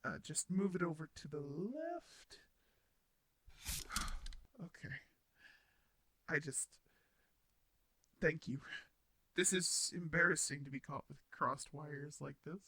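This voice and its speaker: A man's voice: fairly high pitch, lively intonation, slow pace, long pauses, sharp tone, full character voice. A man playing a shy, flustered, kindly robot roommate in an intimate audio drama, speaking hesitantly with stammers. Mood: gentle instructing. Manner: stammering, hesitant, nervous laughs, sighs. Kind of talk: intimate roleplay audio drama addressed to the listener.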